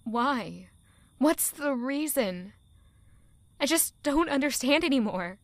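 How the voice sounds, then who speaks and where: A woman's voice: sits high, expressive, dry recording, heavily acted. A woman playing an anguished, weary young village girl in a dramatic, emotional audio drama monologue. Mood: pleading, confused. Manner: emotional, slow, deliberate delivery with rhetorical questions.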